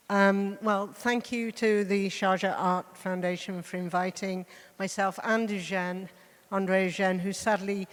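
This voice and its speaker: Woman presenting in a very echoey hall. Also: lowish voice. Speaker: panel speaker, art biennale co-director. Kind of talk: informal spoken presentation to an audience. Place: large reverberant hall, on a stage. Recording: echoey hall with long reverb.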